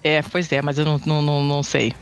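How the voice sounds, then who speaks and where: A man's voice: a little high, completely flat delivery, quick pace, smooth, highs cut off. A man from Brazil co-hosting a casual podcast, rambling with many restarts and fillers. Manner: rambling, many restarts and fillers, admits never being brief. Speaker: podcast co-host. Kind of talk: casual chat.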